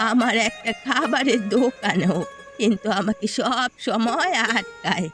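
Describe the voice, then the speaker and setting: A woman voicing a poor elderly village mother-in-law in a cartoon, plaintive and complaining. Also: on the high side. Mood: wistful. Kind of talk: scripted cartoon dialogue. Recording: loud music behind the voice.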